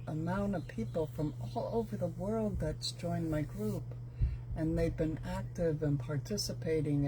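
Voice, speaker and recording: Slow, chatty woman hosting a craft stream. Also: lowish voice, warm tone, slight background noise, low-quality recording. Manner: chatty, reads out viewers' locations, halting with fillers. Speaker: host of a live craft stream. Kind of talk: casual live-stream chat.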